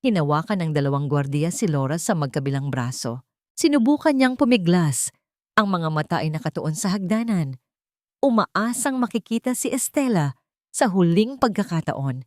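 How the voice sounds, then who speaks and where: Filipino woman narrating a drama, low, dry. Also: lively intonation, normal speed, a little mellow, no room sound. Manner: dramatic storytelling, voicing characters' lines. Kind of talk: scripted audio drama narration.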